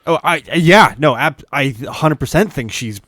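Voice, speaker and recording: A man co-hosting a podcast, speaking earnestly and haltingly in casual discussion. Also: a little high, animated, fairly bright tone, no room sound, clean studio sound. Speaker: podcast co-host.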